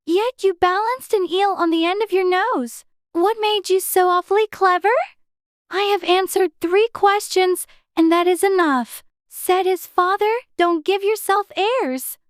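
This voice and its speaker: Young storybook girl , played by a woman: very high pitch, earnest, well-mannered, puzzled, dry sound. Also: unhurried, no echo. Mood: dutiful recitation. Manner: polite, proper, earnest. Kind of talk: dramatized audiobook character acting.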